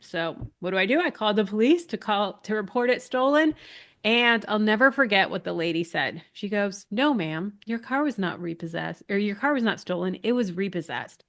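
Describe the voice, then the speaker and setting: A woman's voice: mid-range voice, expressive, normal speed, fairly bright tone. A woman in her thirties from Massachusetts hosts a live online business class in a chatty, upbeat way. She is getting over a cold, so she sounds a little nasal, with a dry throat and some breathlessness. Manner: chatty, upbeat, reads out chat names. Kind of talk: casual live webinar talk.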